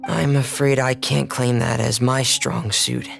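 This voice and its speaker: A woman voicing a quiet, reserved young assistant in a game, flat and hesitant. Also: a little slow, gravelly, faint music behind, exaggerated voice. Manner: flat, hesitant, low-key.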